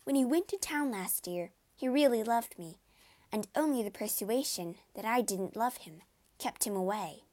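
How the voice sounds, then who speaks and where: A woman voicing a gentle, sweet-natured young woman who speaks softly and earnestly, pitched above her own voice. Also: lively intonation, normal speed. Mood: overjoyed.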